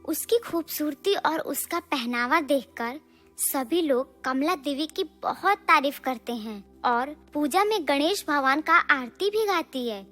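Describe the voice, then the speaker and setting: Woman storyteller, high voice, unhurried pace, scripted moral tale narration. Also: animated, a bit bright, faint music behind.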